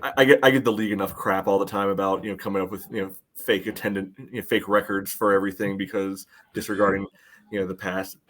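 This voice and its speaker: A man co-hosting a sports podcast, chatting casually with halting phrasing full of filler words. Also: sits low, fairly even intonation, fairly bright tone. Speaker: podcast co-host.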